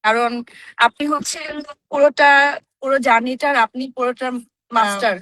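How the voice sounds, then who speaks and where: Casting: Bangladeshi actress guest, high bright voice, appreciative and gushing, chatting informally. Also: female voice, no room sound, poor audio. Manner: effusive, appreciative. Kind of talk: casual heartfelt tribute on a group chat.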